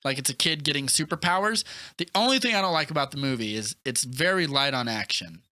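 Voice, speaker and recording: A man chatting casually and enthusiastically about films as a podcast co-host, rambling excitedly with lots of "like". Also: medium pitch, quick pace. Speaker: movie review podcast co-host. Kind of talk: casual enthusiastic film chat.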